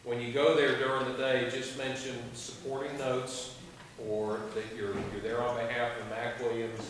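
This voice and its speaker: A man's voice: medium pitch, flat delivery, moderate pace. Man speaking from far off in a hall. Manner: plain, clarifying details. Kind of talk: announcement to an audience. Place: large school auditorium. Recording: echoey hall from far off, noise.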